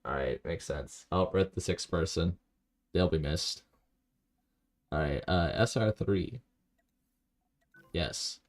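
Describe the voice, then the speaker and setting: Deep slow guy streaming a game, kinda gravelly, rambly low-key self-talk. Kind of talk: casual live-stream commentary while playing.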